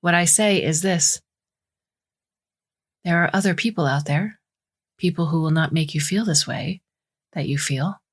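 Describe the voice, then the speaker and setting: A gentle household AI narrates her story through a woman reader in a slightly low female voice. She is precise and observant, choosing formal words with careful, measured diction. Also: breathy edge. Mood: gentle, earnest. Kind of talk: scripted first-person story narration.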